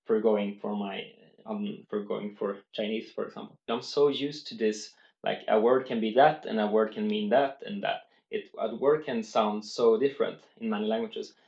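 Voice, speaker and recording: A man's voice: on the low side, steady tone, normal speed, creaky, darkish tone, muffled recording. Earnest man in an echoey room. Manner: earnest, explains step by step with many restarts. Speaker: language-learning vlogger. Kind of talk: unscripted talk to camera.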